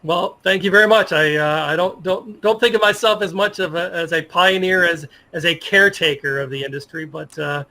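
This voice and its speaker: A middle-aged man speaking as an interview guest in a casual, halting, self-effacing way about sports. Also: high-pitched, pushed and bright.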